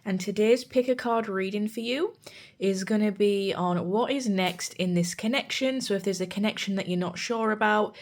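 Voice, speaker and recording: A woman's voice: mid-range voice, normal speed. A young woman from the UK, a tarot reader with a sore throat and a voice that cracks at times, giving a chatty, reassuring pick-a-card reading. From United Kingdom. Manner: chatty and reassuring, says "you know" and "guys" a lot.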